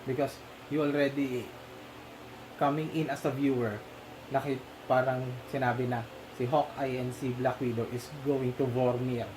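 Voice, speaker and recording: A man with a Filipino accent chatting casually about a film, switching between Tagalog and English with many pauses and fillers. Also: medium pitch, flat delivery. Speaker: podcast co-host. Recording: noisy home recording.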